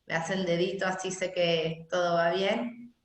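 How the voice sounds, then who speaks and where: A young woman with a Venezuelan accent, telling her personal story in an unhurried, conversational way as a guest speaker on a video call. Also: lowish voice, flat delivery. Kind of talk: personal talk at an online gathering. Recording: echoey room on a video call.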